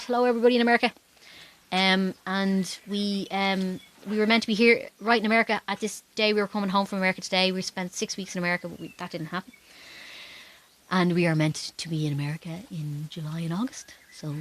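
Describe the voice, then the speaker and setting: A woman from Dublin with an Irish accent, chatting casually and informally as a folk singer hosting a livestream, talking to viewers between songs. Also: medium pitch. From Dublin, Ireland. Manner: chatty, informal, greeting people by name. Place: small wooden garden shed.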